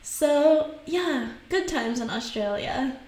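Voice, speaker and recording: Chatty young woman, higher voice, echoey. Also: lively intonation, slow pace, darkish tone, a little hiss or hum. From Haiti. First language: French and Haitian Creole. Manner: chatty, laughs often, lots of "like" fillers. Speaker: podcast host. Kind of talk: casual storytelling podcast.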